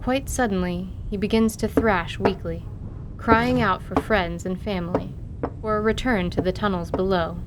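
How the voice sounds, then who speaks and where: A woman reading scripted narration for a fantasy audio drama in a measured storyteller's manner. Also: medium pitch, very noisy.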